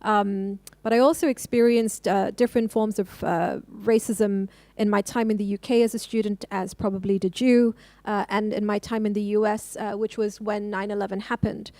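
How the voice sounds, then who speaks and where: A woman's voice: medium pitch, level tone, normal speed, few pauses, a little mellow, no room sound. A woman from Hong Kong who works as a lawyer, talking on a panel in a thoughtful way with many "uh"s and restarts. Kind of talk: panel discussion.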